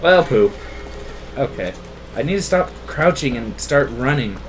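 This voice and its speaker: A man playing a video game, giving casual, excitable commentary full of swearing and self-mocking banter. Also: medium pitch, measured pace. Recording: faint game noise behind voice.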